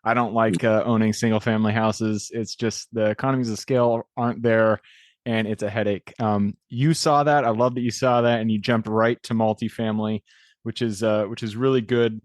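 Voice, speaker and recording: A man's voice: on the low side, little pitch movement, moderate pace, no echo, studio quality. A man hosting a podcast interview who talks in an upbeat, encouraging way with many ums. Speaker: podcast host.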